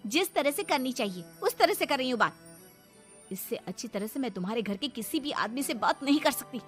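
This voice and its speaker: A woman's voice: high-pitched, expressive, a little fast, faint music behind, voice pushed up. A woman voicing an outspoken, indignant young wife in a cartoon story, argumentative and sarcastic. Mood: defiant, sarcastic. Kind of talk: Hindi cartoon story dialogue.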